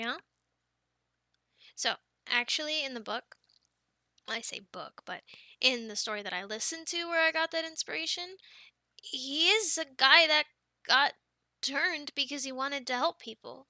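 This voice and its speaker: A young woman with a high, very bright voice performs ASMR roleplay. She has a playful, girlish delivery, recorded close and dry. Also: normal speed, pauses often, no room sound. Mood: playful chat. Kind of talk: ASMR roleplay, whispered storytelling and casual chat.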